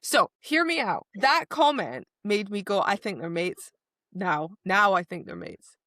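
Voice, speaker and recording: A woman's voice: medium pitch, big pitch swings, unhurried, fairly bright tone, no room sound, clean studio sound. A woman podcast co-host casually retelling book scenes, slipping into quoted dialogue and repeating words for emphasis. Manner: chatty, acts out quoted dialogue.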